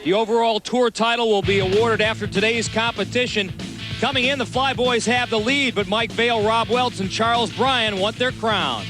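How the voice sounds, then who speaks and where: A man's voice: very high-pitched, moderate pace, over music. A man announcing play-by-play for a sports broadcast in a dramatic commentary style. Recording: clear background noise, outdoor ambience.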